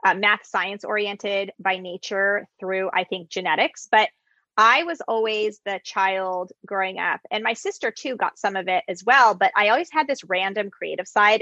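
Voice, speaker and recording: A woman's voice: mid-range voice, sharp tone, no echo, lo-fi sound. A woman hosting a casual interview, chatty, with frequent restarts. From United States, Greek immigrant parents. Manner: chatty, self-correcting restarts. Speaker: interviewer, recipe creator.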